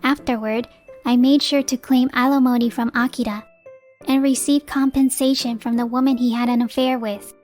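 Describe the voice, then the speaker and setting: A woman's voice: high-pitched, steady tone, moderate pace, a little mellow, close to an everyday voice. A resolute young mother played by a woman narrates her story in earnest, dramatic manga dub style. She sounds like a wronged wife holding firm, with faint music underneath. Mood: matter-of-fact. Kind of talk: scripted first-person narration, manga dub.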